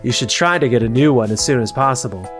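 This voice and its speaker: A man playing a calm, capable young factory worker in a scripted manga dub, composed and matter-of-fact. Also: medium pitch, quick pace, soft background music, lightly acted.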